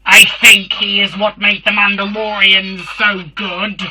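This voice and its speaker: A performer voicing a Dalek, a robotic alien warrior hosting a fan review show, with a harsh filtered voice and halting, emphatic delivery. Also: mid-range voice, little pitch movement, pushed and bright, breathy edge, exaggerated voice, poor audio.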